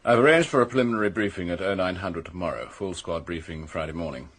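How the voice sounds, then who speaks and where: Deep, husky, businesslike police officer. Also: male voice, fast talker, a bit bright. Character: businesslike, cautious male police officer giving operational details. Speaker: actor in a radio crime drama. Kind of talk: naturalistic radio drama dialogue.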